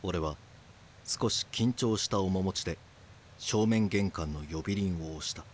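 An actor playing an earnest police detective who narrates his case in a grave, measured way for an audio drama. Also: low-pitched, background noise. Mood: grave narration.

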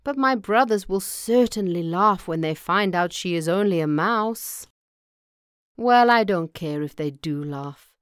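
A loyal young farmer's son from a storybook, voiced by a woman reader. He speaks in an earnest, plain way with plenty of pitch movement. The pace is unhurried. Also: lively intonation, clear, resonant tone, no echo, clean studio sound. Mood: fond, resolute. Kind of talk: storybook character voice.

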